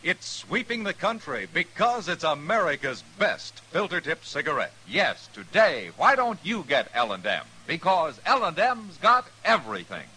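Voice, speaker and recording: A male radio pitchman with a fairly high, extremely bright voice, selling emphatically in short punchy phrases. It sounds like a 1950s broadcast ad with faint noise. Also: very animated, normal speed, few pauses, rough recording. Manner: emphatic, salesmanlike. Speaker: commercial announcer.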